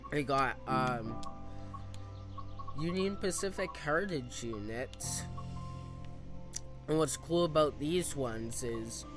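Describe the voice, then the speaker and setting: A young man from the US East Coast commentating casually on a game, hesitant with many fillers, his voice a little off from coughing. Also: mid-range voice. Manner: hesitant, lots of fillers and restarts. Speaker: game streamer.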